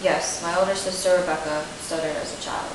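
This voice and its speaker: A young woman playing a self-conscious eighteen-year-old client in a speech therapy interview, reading her answers in a rehearsed, even way. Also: low voice, a little fast, natural voice. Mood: earnest. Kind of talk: scripted role-play. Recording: echoey room, distant mic, steady hiss.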